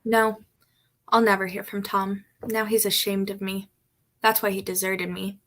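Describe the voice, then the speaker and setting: A woman's voice: medium pitch, flat delivery, unhurried, fairly bright tone, slight background noise, close to an everyday voice. A woman playing a forlorn, defensive young servant girl in a radio drama, with a plaintive manner. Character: Mary, forlorn, defensive young village maid.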